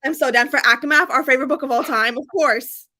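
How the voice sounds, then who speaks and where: A woman hosting a book readalong, chatting casually and excitedly, with an emphatic, gushing way of repeating herself. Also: sits high, fast. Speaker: host of a book readalong live stream.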